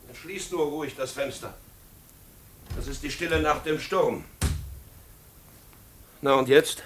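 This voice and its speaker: An actor playing an urbane, wry and gently taunting confidant of an aristocrat in a 1950s German radio drama. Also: male voice, on the high side, unhurried, a little hiss or hum. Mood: mocking.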